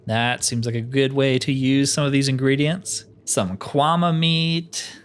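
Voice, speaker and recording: A man's voice: medium pitch, steady tone, measured pace, clean tone. A man giving casual let's-play commentary, chatting and thinking aloud as he plays a fantasy game. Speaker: gaming YouTuber playing a fantasy roleplay game.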